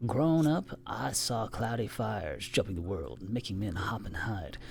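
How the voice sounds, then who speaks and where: A theatrical, preaching lightning-rod salesman, put on by a man reading aloud. He talks about storms as if he owns them, pitched up from the reader's own gravelly voice. The delivery is fervent and showy. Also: animated, measured pace, a little mellow. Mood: boastful.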